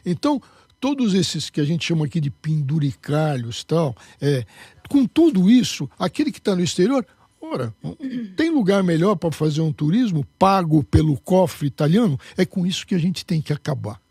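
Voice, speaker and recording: A man's voice: fairly high pitch, very animated, moderate pace, dark tone, breathy edge, no room sound, studio quality. A man, a candidate and jurist, speaking emphatically in a political interview. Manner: emphatic, lecturing.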